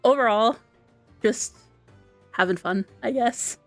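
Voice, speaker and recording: A woman's voice: on the high side, no room sound. A young woman from Austria with an Austrian German accent, German native, chatting casually and giggly on a game stream. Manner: chatty, giggly, halting with restarts. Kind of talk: casual live-stream chat. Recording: dry close mic, quiet game music.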